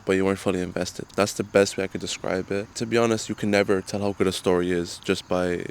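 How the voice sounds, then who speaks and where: A man's voice: deep voice, fast, a little hiss or hum. A man, chatting casually through a Q and A about his writing. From Ireland. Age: 20s. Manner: relaxed, joking, chatty. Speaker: writer answering fan questions. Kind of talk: casual Q and A vlog.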